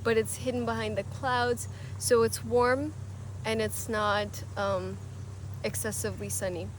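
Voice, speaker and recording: A woman's voice: on the high side, flat delivery, measured pace, rough recording. A young woman in her twenties chatting casually as a vlogger while out in a city, rambling with lots of fillers. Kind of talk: casual travel vlog. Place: outdoors in a city, partly riding a bike. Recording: outdoor street noise and traffic. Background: city ambience and passing vehicles.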